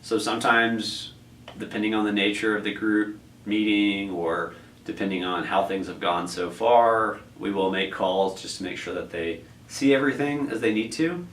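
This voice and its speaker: A man's voice: fairly low pitch, moderate pace, fairly bright tone. A man coordinating a tutoring program, explaining the process in a rambling way with many fillers and restarts. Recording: echoey room, distant mic, faint noise.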